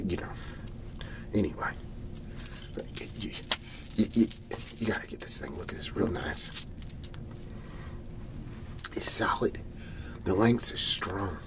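A man playing a folksy, excitable garage-sale salesman in an ASMR roleplay, whispery and breathy, rambling and repeating his hard sell. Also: on the low side, background noise, exaggerated voice. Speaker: host playing a character.

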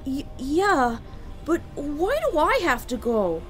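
A woman's voice: sits high, sing-song, slow pace, over music, heavily acted. A woman voicing a wary little boy dragon sidekick in a cartoon comic dub, hesitant and childlike. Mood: reluctant.

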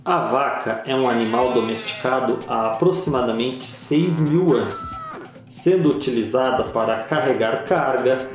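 A man reading a scripted educational narration in a slow, measured way, with pauses between phrases. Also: mid-range voice. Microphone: voice-over. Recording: very echoey voice-over with music.

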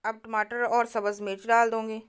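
A woman, narrating a home cooking recipe step by step and speaking directly to her viewers in a calm, instructive way. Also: a little high, moderate pace, clean tone. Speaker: cooking channel host.

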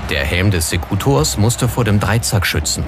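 Deep-voiced male documentary narrator. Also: animated, normal speed. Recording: music under the voice. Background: background music.

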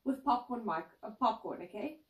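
A woman's voice: fairly high pitch, lively intonation, moderate pace, lo-fi sound. A woman in her twenties interviewing a guest in a casual, bubbly way, with frequent ums and restarts. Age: 20s. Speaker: announcer and interviewer. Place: indoor lounge set, seated on couch. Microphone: far camera mic. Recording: roomy echo, distant mic.

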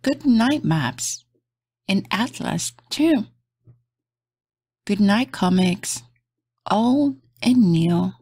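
Female children's read-aloud host: gentle, encouraging, slow and expressive, recorded dry and close. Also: mid-range voice, husky, no room sound. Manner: gentle, sing-song, encouraging.

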